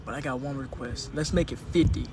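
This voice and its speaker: A man's voice: medium pitch, brisk. A man doing a comic rant to camera in a playful, mock-demanding manner. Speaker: air traffic controller. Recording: noisy, street or vehicle sounds.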